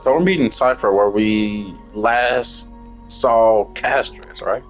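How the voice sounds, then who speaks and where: A man's voice: on the low side, slow, low-quality recording. A man giving casual, slangy game commentary as a streamer, irreverent and profane. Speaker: gameplay streamer. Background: faint game music.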